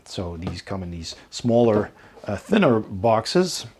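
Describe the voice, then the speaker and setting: A man's voice: lowish voice, sing-song, slow pace, darkish tone, a little hiss or hum. A man hosting a casual unboxing video, thinking aloud and hesitating as he describes each item. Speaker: host of a board game unboxing channel.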